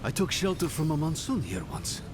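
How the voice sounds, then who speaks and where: An actor playing a terse, measured warrior hero who serves the spirits in a video game. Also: male voice, a little high, very animated, background noise. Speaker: player character. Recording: loud game soundtrack music behind. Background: game music.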